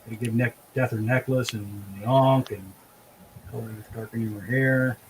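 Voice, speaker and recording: Male host, average pitch, casual conversational delivery, slight noise behind him. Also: fairly even intonation, normal speed, rough recording. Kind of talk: casual chat. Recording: faint background noise.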